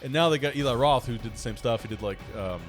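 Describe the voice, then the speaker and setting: A man's voice: mid-range voice, fast talker, music in the background. A man chatting casually as a co-host, rambling and thinking aloud in a tentative way. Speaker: podcast co-host. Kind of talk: casual chat.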